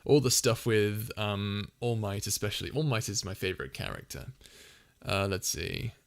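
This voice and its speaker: Rambling man with a soft voice. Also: lowish voice, moderate pace, gravelly. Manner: rambling, full of fillers and restarts; soft, soothing voice. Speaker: ASMR channel host answering viewer questions. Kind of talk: casual livestream Q&A.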